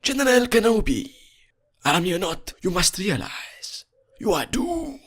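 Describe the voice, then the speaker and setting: A man voicing a menacing cyborg villain general in a theatrical, drawn-out film impression. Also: sits high, very animated, slightly rough, soft background music, pitched above the performer's own voice, exaggerated voice. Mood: cold menace.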